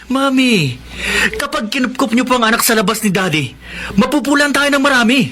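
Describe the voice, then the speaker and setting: A resentful young man of a wealthy family as a male actor plays him, with a notably high voice. He is hot-headed and dramatic in radio play style, over clear noise. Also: expressive, fairly quick. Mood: protesting. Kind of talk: Filipino radio drama acting.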